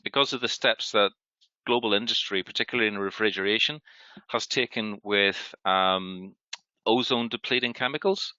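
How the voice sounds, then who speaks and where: A man's voice: fairly low pitch, bright, forceful tone, smooth, no echo, highs cut off. A man with a Belfast accent, speaking earnestly as a panel guest in a webinar discussion, with restarts and stammers. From Northern Ireland.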